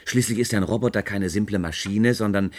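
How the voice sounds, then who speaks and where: An actor playing an earnest, anxious hobbyist, hesitant and easily flustered, in a German radio play. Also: male voice, lowish voice, a little fast, lightly acted. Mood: worried. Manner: hesitant, touchy, bewildered. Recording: faint background noise.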